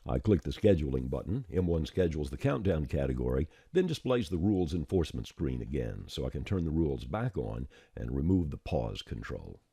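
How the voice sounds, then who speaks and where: A man with an extremely deep voice narrates a step-by-step software tutorial. His tone is dark and somewhat husky, while his pitch moves around in a lively way. The delivery is plain-spoken and informal. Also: no room sound, studio quality.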